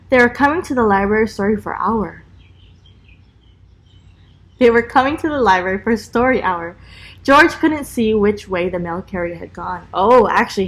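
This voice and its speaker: Woman, higher register, teacher-style storybook read-aloud, expressive, with encouraging asides for little kids. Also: poor audio. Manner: animated, encouraging asides to young listeners. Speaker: special education teacher reading aloud.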